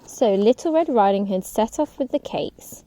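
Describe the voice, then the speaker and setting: A woman's voice: medium pitch, expressive, normal speed, warm tone, low-quality recording. A woman telling a story to young children as a storybook reader.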